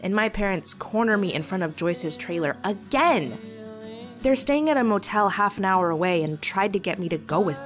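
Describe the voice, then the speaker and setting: A woman's voice: fairly low pitch, lightly acted. A woman playing a sardonic, fiercely loyal teenage girl reading her journal in an emotive comic dub. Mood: frustrated. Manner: wry, sarcastic, emotive. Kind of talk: dramatic first-person journal narration in comic dub. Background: soft guitar music.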